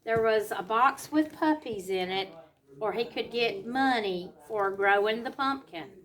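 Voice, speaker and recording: A woman reads a picture book to young children. Her voice is high and her pace is slow. She breaks from the story to explain things in simple words and put questions to her listeners. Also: a bit bright. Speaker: children's educator reading a storybook aloud.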